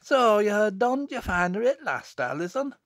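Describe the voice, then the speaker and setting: A sour, scolding village mother from an audiobook tale, acted out by a man. The voice is pushed up high and swoops about in testy rustic dialect, harsh in temper and quick to chide. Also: big pitch swings, a bit bright, no echo, studio quality, exaggerated voice. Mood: sour, teasing.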